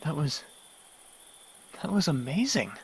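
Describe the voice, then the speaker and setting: Man voicing a gravelly, earnest young unicorn student. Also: fairly high pitch, big pitch swings, slow pace, a little hiss or hum, full character voice, rough recording. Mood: awed. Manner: hesitant, earnest, reverent. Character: kind, deferential young male unicorn student. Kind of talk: fan-made radio drama acting.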